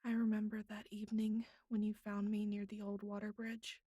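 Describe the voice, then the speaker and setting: A woman playing a bratty but vulnerable young captive in an intimate audio roleplay, speaking haltingly with pleading, pouty turns and soft breathy asides. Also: medium pitch, monotone, no echo, clean studio sound, natural voice. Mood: sad, fragile.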